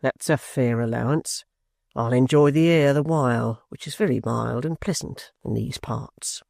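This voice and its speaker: A woman voicing a scheming blind old man who speaks slowly and coaxingly. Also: very deep voice, vocal fry, a little mellow, dry recording, studio quality. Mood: coaxing menace. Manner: slow, coaxing, insinuating.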